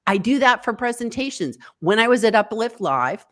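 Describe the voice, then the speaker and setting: A woman guest on a live video interview, giving encouraging tips in an animated way and leaping up in pitch for quoted thoughts. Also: moderate pace. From United States. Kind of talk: online interview. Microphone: video call.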